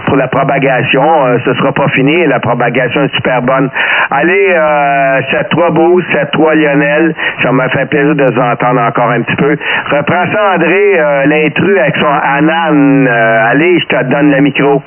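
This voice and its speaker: A man's voice: medium pitch, normal speed. A man with a Québécois French accent chats casually as an amateur radio operator, rambling with hesitant, colloquial phrasing over a radio transmission. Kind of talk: casual radio contact chat. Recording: muffled narrow-band amateur radio transmission.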